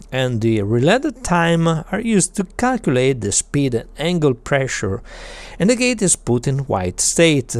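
A middle-aged man explaining a homemade electronic device in halting, deliberate technical phrases. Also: a little high, a little mellow, dry recording. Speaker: maker presenting an electronics project.

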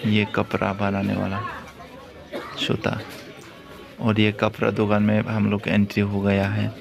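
Low, monotone man vlogging. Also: a little slow, vocal fry. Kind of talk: casual walk-through vlog commentary. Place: outdoor street market, walking. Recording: loud busy outdoor crowd noise.

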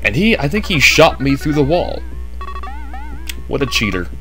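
A man's voice: mid-range voice, very animated, moderate pace, sharp tone, faint music behind. A man narrating a game walkthrough in a chatty way with jokey asides, just woken up. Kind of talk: casual gameplay commentary.

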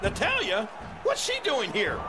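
Excitable high-pitched wrestling commentator. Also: male voice, measured pace, exaggerated voice. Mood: shocked. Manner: excitable, exclamatory. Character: excitable, leering male wrestling color commentator. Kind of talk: sports commentary in a video game. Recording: loud music and arena crowd behind.

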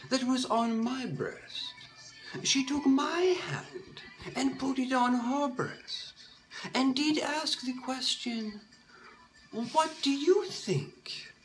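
A woman's voice: mid-range voice, slight background noise, rough recording. A woman telling a comic story in a stagey put-on voice, with mock-formal phrasing, stammered repetitions and whispered asides. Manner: puts on a stagey persona accent.

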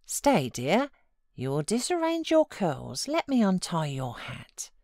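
A woman's voice: fairly low pitch, huge pitch swings, takes it slowly, darkish tone, no echo, clean studio sound, full character voice. A British woman voicing a genteel, fussy young wife who gives orders, in a storybook reading.

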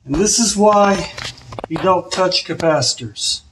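Higher-voiced man in a roomy space. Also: lively intonation, unhurried. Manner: offhand, talking while working with his hands. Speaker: host of a DIY car audio video. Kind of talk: casual hands-on product review and tutorial. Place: home garage, at the car and workbench. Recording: roomy echo, faint noise and clicks.

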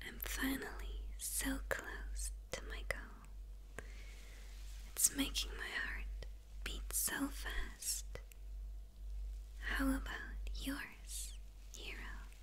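A woman's voice: high-pitched, monotone, fairly bright tone, full character voice, rough recording. Woman whispering as a gloating demon villainess. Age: young adult. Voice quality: breathy whisper.

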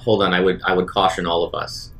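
A man with a low voice takes part in a tabletop roleplaying game as a player. He talks casually and at a fast clip. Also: fairly even intonation, creaky, fairly bright tone, faint music behind. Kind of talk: casual in-game talk and questions.

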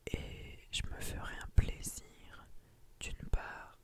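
A woman speaks in a breathy whisper, very close to the mic, in the style of an ASMR creator. Her delivery is slow and hushed, broken into short phrases addressed to her viewers. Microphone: close microphone on a boom arm.

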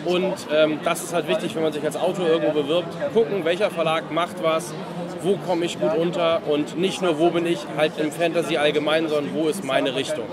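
A young man with a fairly high voice gives casual interview answers, sometimes searching for words. He stands at a fair booth in a hall with a very loud crowd din all around. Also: little pitch movement, a little fast. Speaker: publisher, interview guest.

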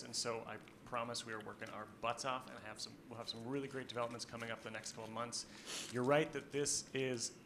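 A man's voice: medium pitch, a bit bright, slight background noise. A man in his thirties speaking earnestly and emphatically into a handheld mic at a school board meeting, with frequent ums. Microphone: handheld microphone held close.

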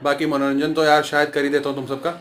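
A man's voice: a little high, monotone, fast talker. A young man in his twenties chatting casually to his phone camera as a vlogger, leaning on fillers. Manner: chatty, repeats "theek hai" as a filler. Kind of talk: casual vlog chat. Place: small indoor room. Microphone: phone camera at arm's length. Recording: noisy street while riding.

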